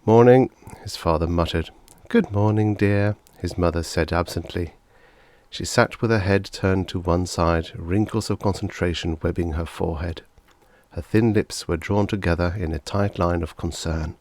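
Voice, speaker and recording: A man's voice: deep voice, steady tone, moderate pace, no room sound. A man narrating fiction as a scripted audiobook reader.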